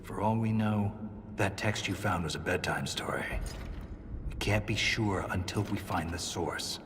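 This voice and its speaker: An actor playing a battle-weary dwarven lieutenant in a video game, with a dry, blunt, sardonic delivery. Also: male voice, sits low, unhurried, background noise. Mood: skeptical. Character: wry, battle-weary male dwarven lieutenant, veteran soldier. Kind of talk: video game cutscene acting. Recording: long cavernous reverb, music underneath.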